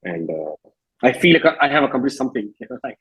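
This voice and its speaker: A man's voice: mid-range voice, very animated, fast, fairly bright tone, breathy edge, low-quality recording. An Indian-accented man in his thirties speaking informally and reflectively in a casual interview, with plenty of verbal tics like "you know". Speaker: singer-songwriter being interviewed.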